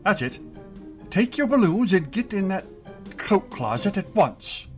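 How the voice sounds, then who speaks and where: A man playing a grouchy, flustered middle-aged high school principal in a radio comedy, blustering and exasperated. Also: sing-song, a little slow, faint music behind. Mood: flustered, urgent. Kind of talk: 1950s radio comedy acting.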